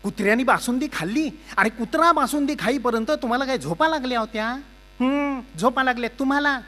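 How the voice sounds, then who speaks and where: A man voicing a stout, sour-faced wife who scolds her husband in a shrill, accusing voice. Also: fast, a bit bright. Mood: scolding.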